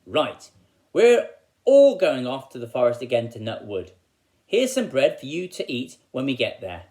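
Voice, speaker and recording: A man's voice: medium pitch, wildly swooping pitch, a little slow, a little mellow. Man playing a cross, bossy fairy-tale mother.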